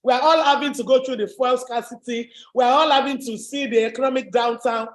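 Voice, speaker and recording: A woman with a deep voice, giving an emphatic, motivational webinar talk as a business coach. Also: fairly even intonation, fairly quick. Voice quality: deep, low voice. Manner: emphatic, repetitive, rallying. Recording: echoey room.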